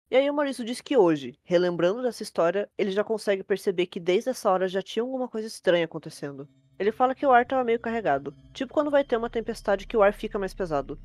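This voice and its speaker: A woman's voice: on the high side, darkish tone, dry recording. A young Brazilian woman telling a scary story as host, in casual scripted narration. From Brazil, from a capital city.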